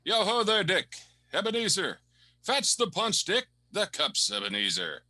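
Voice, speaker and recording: A man's voice: high voice, wildly swooping pitch, measured pace, sharp tone, voice pushed up, heavily acted. A man playing a jovial, hearty old merchant who exuberantly calls out to his apprentices in a radio play. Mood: merry, hearty. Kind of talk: stage-style radio play acting.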